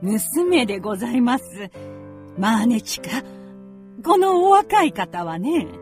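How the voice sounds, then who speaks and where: A woman's voice: fairly high pitch, sing-song, slow pace, faint music behind. A woman playing a genteel, fretful middle-aged mother in a radio drama, with very polite old-fashioned feminine speech. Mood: genteel, proud. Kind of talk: Japanese radio drama acting.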